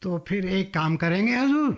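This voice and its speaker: A high-voiced, wily go-between. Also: male voice, expressive, moderate pace. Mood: fawning, persuasive. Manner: deferential, persuasive, scheming. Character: wily, obsequious middleman coaxing landlord and buyer. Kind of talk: Hindi radio drama acting.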